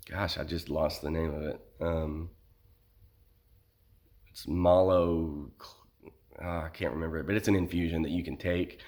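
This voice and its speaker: An American man talking casually in a personal vlog, halting as he searches for words, with a sore throat and a voice starting to give out from illness. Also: low-pitched. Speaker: vlogger sharing illness experience.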